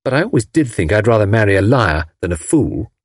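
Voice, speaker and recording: A man voicing a lively, cheeky young woman who teases playfully. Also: lowish voice, brisk, husky, no room sound, clean studio sound, heavily acted. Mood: teasing. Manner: bright, playful, teasing.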